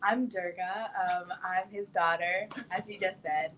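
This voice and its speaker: A woman's voice: fairly low pitch, steady tone, a little slow, a bit bright. A young woman speaking as an activist guest, informally announcing and explaining in youthful, casual phrasing. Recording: faint background ambient noise.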